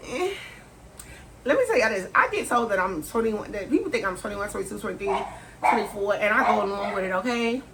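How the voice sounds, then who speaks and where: A woman's voice: mid-range voice, expressive, fairly quick. A candid woman. She keeps it loose and slangy, laughing as she answers questions at home. A faint hiss of room noise sits behind her. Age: 30s. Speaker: vlogger. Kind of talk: casual sit-down Q&A vlog. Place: indoors at home, sit-down setting.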